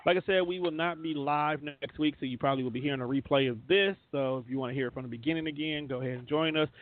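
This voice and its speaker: Higher-voiced man hosting over a phone-like line. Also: fast talker, dry recording. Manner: informal, slangy. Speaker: radio show host. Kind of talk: casual radio show talk. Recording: narrow, phone-like sound.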